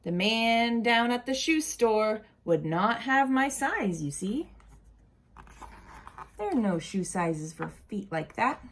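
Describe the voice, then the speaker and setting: Very animated, slow-paced woman reading a storybook. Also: fairly high pitch, a little hiss or hum. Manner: animated, deliberate, asks the listeners questions. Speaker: storyteller reading a children's picture book aloud. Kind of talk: expressive read-aloud with casual asides to young children.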